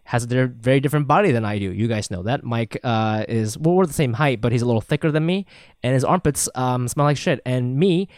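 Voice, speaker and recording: A man's voice: mid-range voice, fairly quick, darkish tone, no echo, clean studio sound. A man, a podcast host who chats casually, laughs often and reads sponsor ads with a joking, breezy manner.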